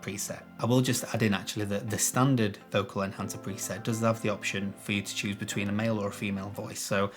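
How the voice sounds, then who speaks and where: A man with a low, bassy voice walks viewers through an editing trick in a chatty, self-deprecating tutorial. He is recorded on a shotgun microphone in a small room with some echo. Faint music plays softly underneath. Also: little pitch movement, fast talker, hardly any pauses. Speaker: video tutorial host. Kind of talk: casual tutorial talk to camera.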